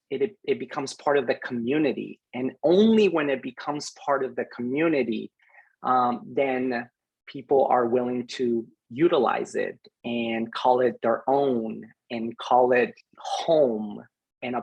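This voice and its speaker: Middle-aged man, from Peru, program director, slightly raspy tone, measured reflective delivery with fillers. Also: mid-range voice, low-quality recording. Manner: reflective, unhurried, frequent fillers. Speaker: program director giving a graduation message. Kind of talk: recorded address to graduating students.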